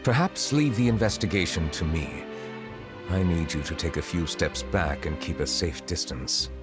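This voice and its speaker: A solemn, dignified man of high office played by a male actor speaks in a deep, low voice. His manner is formal, reserved and reflective, in video game style. The sound has long reverb, with music behind it. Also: moderate pace, close to an everyday voice. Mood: composed, guarded.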